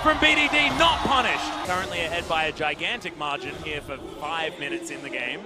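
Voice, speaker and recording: A man doing live esports play-by-play as a commentator, excited and shouting at the big plays. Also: a little high, a little fast. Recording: loud music and crowd behind voice. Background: music, cheering crowd.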